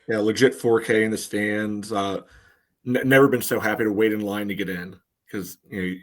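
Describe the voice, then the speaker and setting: A man's voice: on the low side, fairly even intonation, a little fast. A man co-hosting a soccer fan podcast, chatting casually and steering the conversation. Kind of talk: casual chat, moderating.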